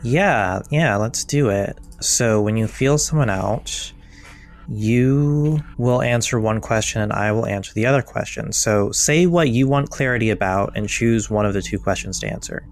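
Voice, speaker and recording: A man's voice: fairly low pitch, moderate pace. A man narrating as game master, casual, with many filler words.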